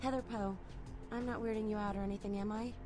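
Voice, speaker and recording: A woman's voice: medium pitch, fairly even intonation, quick pace, warm tone, natural voice. An actress playing a devoted, needy young woman in a video game, pleading and hesitant, with music behind her. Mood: nervous, earnest.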